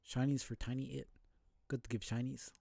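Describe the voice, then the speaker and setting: A man voicing a small, meek, strange creature trader in a video game, speaking in clipped, broken phrases with a breathy edge. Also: medium pitch, level tone, a little fast, warm tone, no echo. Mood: disgusted, then accepting.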